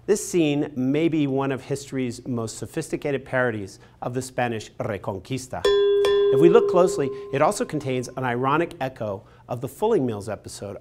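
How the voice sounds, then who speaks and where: A man in his forties giving a scripted literature lecture in a studio. He has an expressive, emphatic storytelling delivery and speaks into a lapel mic. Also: medium pitch. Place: studio in front of a painted backdrop.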